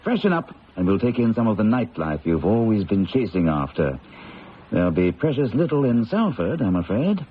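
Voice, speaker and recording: An actor playing an enthusiastic, sociable researcher with a hearty, exclamatory way of talking in an old-time radio drama. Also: male voice, on the low side, big pitch swings, moderate pace. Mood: hearty, excited. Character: enthusiastic, sociable British psychology researcher, breezy friend. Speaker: actor playing the lead's colleague. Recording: dull, hissy old radio recording.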